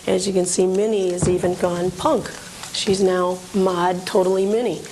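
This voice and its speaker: A woman's voice: on the low side, little pitch movement, moderate pace. A woman collector being interviewed, explaining items in an informal, matter-of-fact show-and-tell way. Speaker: memorabilia collector, interview guest. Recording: hissy, noisy old TV recording.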